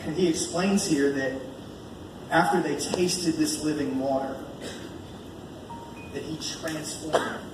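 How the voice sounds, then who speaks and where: A fairly high-voiced American man delivers a colloquial evangelistic sermon, leaning on repeated phrases for emphasis. His voice comes through a heavily echoing room, picked up from a distance. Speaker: preacher. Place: large echoey room at a live church gathering. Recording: echoey room from far off, noisy.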